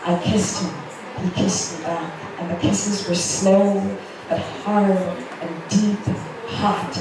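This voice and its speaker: A woman's voice: mid-range voice, fairly even intonation, takes it slowly, over music. A woman narrating a romance story in the first person as a dramatic literary reading. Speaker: narrator reading a romance novel excerpt aloud. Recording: roomy echo, distant mic, noisy background.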